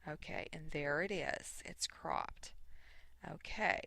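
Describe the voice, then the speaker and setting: A sniffly woman hosting a casual, unscripted craft software tutorial, thinking aloud in short, halting steps. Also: low-pitched, vocal fry, dry recording. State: sniffly from allergies. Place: voice-over recorded indoors at a computer.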